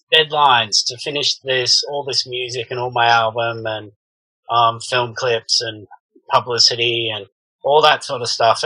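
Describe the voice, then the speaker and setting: A male musician guest chatting on a video call in a relaxed, slightly hesitant way. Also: medium pitch, dry recording, low-quality recording. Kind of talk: casual video-call chat.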